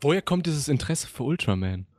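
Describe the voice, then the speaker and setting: A man's voice: fairly high pitch, big pitch swings, a little fast, darkish tone, no echo. Dry, wisecracking co-host man. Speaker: podcast co-host. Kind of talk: casual podcast chat.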